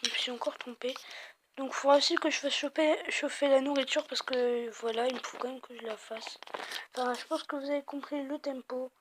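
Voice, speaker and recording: A woman's voice: high-pitched, steady tone, fairly quick. A woman with a southern French accent giving rambling, chatty commentary on her game, full of hesitations, recorded on a phone microphone. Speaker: let's play host. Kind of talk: casual gameplay commentary. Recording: loud noise on a glitchy phone mic.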